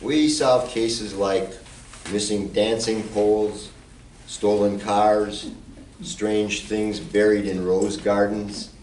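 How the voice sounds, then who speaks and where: An amiable male detective, played by an amateur actor in an old-time radio play. His voice is on the low side, echoing from a little distance. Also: fairly even intonation, slow pace, a little mellow. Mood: confident. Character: amiable husband-and-wife club detective, man. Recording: roomy, distant mic, faint noise.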